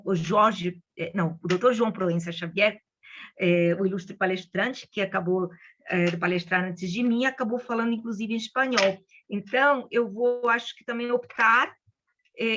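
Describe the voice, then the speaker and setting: A woman of medium pitch, Spanish-born, speaks Portuguese in chatty, friendly remarks and restarts often. The audio is dull and lo-fi. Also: fairly bright tone. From Venezuela. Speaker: invited lecturer. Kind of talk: informal opening remarks at an online conference.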